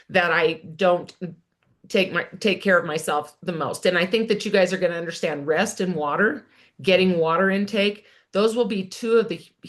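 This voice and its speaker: A woman's voice: mid-range voice, a bit bright. A woman in her sixties, a wellness coach, talking casually and encouragingly to viewers, chatty with frequent restarts. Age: 60s. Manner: upbeat and encouraging, addresses viewers as "you guys". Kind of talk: casual direct-to-camera welcome talk.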